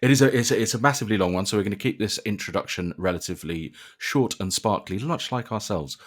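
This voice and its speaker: A man's voice: on the low side, quick pace. A man hosting a casual podcast interview with dry, jokey, self-deprecating banter. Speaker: podcast host.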